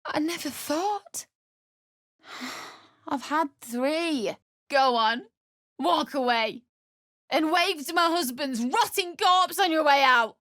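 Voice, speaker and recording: A woman's voice: sits high, slow, long pauses, sharp tone, no room sound, studio quality, full character voice. A young woman voicing a desperate, bitter, grieving widow in a fantasy video game, emotional and blunt. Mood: bitter, resigned. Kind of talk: video game character dialogue.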